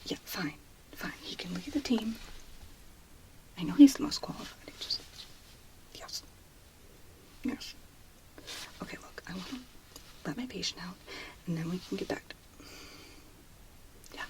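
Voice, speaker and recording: A woman performing an ASMR role play in a breathy whisper, murmuring softly in short pieces with pauses. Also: medium pitch, expressive, low-quality recording.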